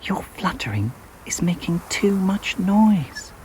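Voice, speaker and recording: Man voicing Daisy, a fussy high-pitched shushing mother. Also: sing-song, slow pace, a little hiss or hum, heavily acted, poor audio. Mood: scolding, shushing. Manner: loud shushing and hushed scolding. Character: Daisy, fussy female garden mother shushing noisy creatures.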